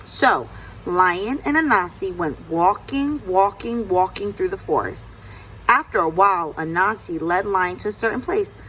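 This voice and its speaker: A woman narrating a children's story aloud in an expressive storytelling style. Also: mid-range voice, unhurried, slight background noise, rough recording. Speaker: storybook reader.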